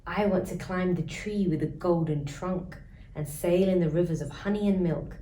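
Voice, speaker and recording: An eager little boy acted by a woman from a storybook, curious and cheeky. The pitch often lifts, and the room echoes. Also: normal speed, warm tone, natural voice. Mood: excited. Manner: eager, imaginative. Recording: roomy echo, faint background noise.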